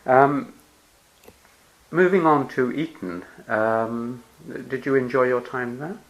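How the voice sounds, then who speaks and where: Man, interviewer, mid pitch, gentle prompting, murmured hmms. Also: slow, lo-fi sound. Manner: gentle prompting with murmured "hmm" and soft laughs. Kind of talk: interview questioning.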